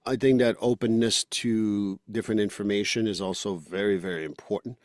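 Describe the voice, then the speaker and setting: Blunt low-voiced man with Quebec French accent. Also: fairly even intonation, fairly quick, a little mellow, no echo, studio quality. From Quebec, Canada. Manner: blunt, joking, opinionated. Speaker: podcast host. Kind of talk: casual podcast conversation.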